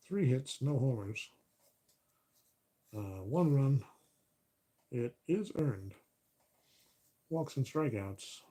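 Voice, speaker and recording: A man doing play-by-play of a tabletop baseball replay as host, relaxed and correcting himself as he counts stats aloud, his voice getting a little raspy. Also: mid-range voice. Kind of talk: play-by-play commentary of a board game replay.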